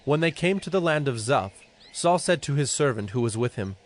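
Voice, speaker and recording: A man's voice: medium pitch, animated, a little fast, slight background noise. A man narrating a dramatized scripture reading from a script.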